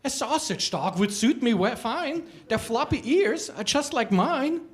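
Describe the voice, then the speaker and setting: A man's voice: expressive, unhurried. A man voicing a small nameless creature that is lost and keeps asking who it is. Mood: pleading. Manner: pleading, questioning, high and sing-song. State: sobbing at times.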